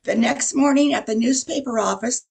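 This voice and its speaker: Creaky older woman narrating. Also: a little high, moderate pace, darkish tone. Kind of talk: scripted radio play narration. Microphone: video call. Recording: faint noise on video call.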